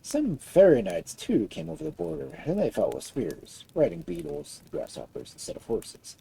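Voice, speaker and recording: Storyteller, expressive fairy-tale reading, husky, gravelly, animated intonation. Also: mid-range voice, normal speed, rough recording.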